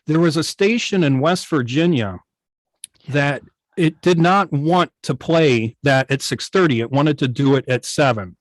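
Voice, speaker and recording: A man speaking as an interview guest in an online meeting, telling things informally with hesitant, halting phrasing. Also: a little high, no echo. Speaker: guest, old-time radio station operator. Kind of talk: informal interview, anecdotal chat.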